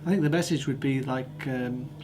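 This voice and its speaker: Brisk Scottish man, noisy hum. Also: medium pitch. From Edinburgh, Scotland. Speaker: course organiser.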